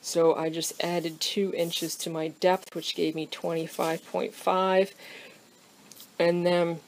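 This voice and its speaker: Low-voiced woman explaining a woodworking build. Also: takes it slowly, slight background noise, poor audio. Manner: relaxed, thinking aloud with frequent ums. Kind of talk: casual how-to explanation. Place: wood workshop. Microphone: camera pointing down at the workbench, speaker off-screen.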